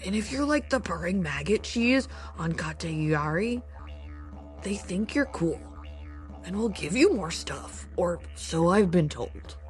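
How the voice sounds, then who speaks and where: A sly, laid-back vendor acted by a woman talks slowly in a lowish voice, hesitant, slangy and confiding, like someone sharing a secret. It has a sci-fi audio comedy style. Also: animated, faint music behind. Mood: furtive. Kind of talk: science fiction audio comedy drama.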